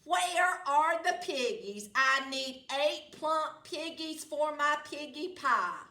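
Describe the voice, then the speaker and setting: A woman voicing a greedy, bossy storybook witch who shouts rude insults. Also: high voice, animated, takes it slowly, roomy sound, voice pushed up, full character voice.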